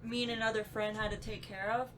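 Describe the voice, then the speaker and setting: A woman's voice: medium pitch, little pitch movement, quick pace, noisy background. A woman on a podcast panel, talking loosely and informally among friends. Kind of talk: casual group chat. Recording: clear chatter behind the voice.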